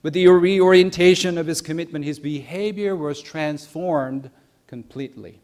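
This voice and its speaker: A young man preaching a sermon, speaking deliberately in short phrases with emphatic stresses. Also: on the high side, darkish tone. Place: church sanctuary.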